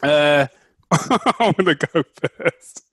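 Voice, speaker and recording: A man's voice: a little high, expressive, drawn-out words, fairly bright tone, slight background noise. A British man co-hosting a podcast in casual, playful, slangy and teasing banter. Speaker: podcast co-host.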